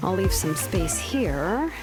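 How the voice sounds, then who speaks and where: A woman's voice: on the low side, very animated, slow, poor audio. A woman playing a confident, laid-back young master craftswoman in a video game, matter-of-fact, with music behind her. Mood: focused. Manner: matter-of-fact, explanatory, with sighs and casual asides. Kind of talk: video game character acting.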